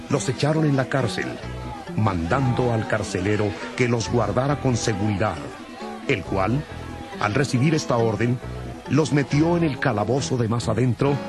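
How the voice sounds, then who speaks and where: Casting: male narrator, medium pitch, scripted biblical storytelling with musical backing. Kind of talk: scripted dramatic Bible narration.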